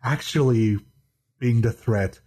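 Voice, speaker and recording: A man guesting on a podcast, talking in a deadpan monotone and often stopping to restart his sentences. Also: medium pitch, slow pace, breathy edge. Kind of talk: casual chat.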